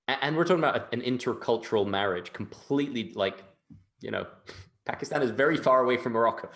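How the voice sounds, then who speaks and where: A man from England with a British accent, speaking emphatically as a guest in an interview, repeating phrases and often checking in with a tag question. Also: lowish voice. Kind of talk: conversational interview talk.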